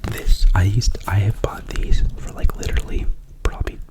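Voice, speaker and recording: Whispering man, ASMR unboxing host. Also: normal speed. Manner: rambling, chatty whisper with self-corrections. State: sick with a cough.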